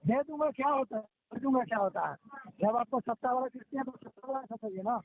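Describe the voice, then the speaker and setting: A man's voice: extremely high pitch, fast talker. A man, a loan recovery official on a recorded phone call, scolding and pushing repetitively for payment. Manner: pushy, scolding, repetitive, interrupting. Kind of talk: phone argument demanding repayment. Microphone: telephone call recording.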